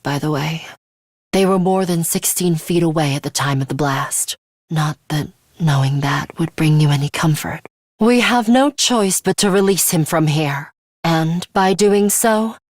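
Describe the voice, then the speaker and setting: Stern, measured young woman fighter. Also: sits low, darkish tone, no echo. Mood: somber, subdued. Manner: clipped, formal, matter-of-fact delivery. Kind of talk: English video game voice acting.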